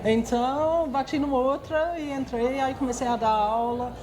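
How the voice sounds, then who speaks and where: A woman's voice: high voice, steady tone, normal speed, crowd noise, rough recording. A woman in her sixties from England with an English accent, speaking Portuguese as a second language, answering interview questions with many hesitations and restarts. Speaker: guest executive.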